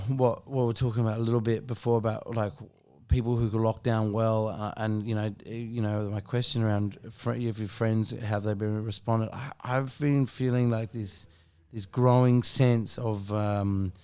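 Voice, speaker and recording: A man's voice: on the low side, darkish tone, clear, resonant tone. A man, hosting a casual podcast chat, rambling and swearing freely with many restarts. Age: 30s. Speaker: podcast host.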